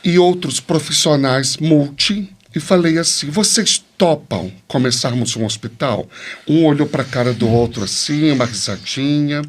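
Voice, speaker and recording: A man with a Brazilian accent and a fairly high-pitched voice tells his own story as a podcast guest. He is an expressive storyteller who asks rhetorical questions and voices bits of dialogue as he goes. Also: unhurried. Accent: Brazilian Portuguese. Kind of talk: personal storytelling in a podcast interview.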